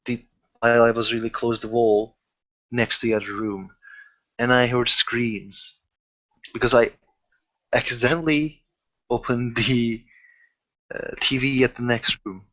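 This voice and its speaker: A man who haltingly searches for words as he improvises in a casual tabletop roleplaying session. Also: medium pitch, fairly even intonation, pushed and bright, dull audio. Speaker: game master or player in a tabletop roleplaying session.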